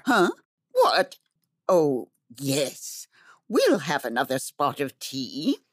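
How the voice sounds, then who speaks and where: A woman's voice: sits low, wildly swooping pitch, takes it slowly, no room sound, clean studio sound, heavily acted. A woman playing a chatty, theatrical fantasy storyteller who is easily sidetracked, in a comedic audio drama. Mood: breezy. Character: chatty, scatterbrained female fantasy storyteller and academy alumna.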